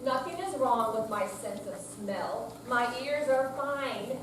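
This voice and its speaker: An older woman recites comic verse with mock indignation. She takes it slowly in a fairly high voice. The sound is distant and very reverberant. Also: lively intonation. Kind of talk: dramatic recitation of a humorous poem. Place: senior center room with audience, distant mic. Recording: echoey hall from far off, noisy.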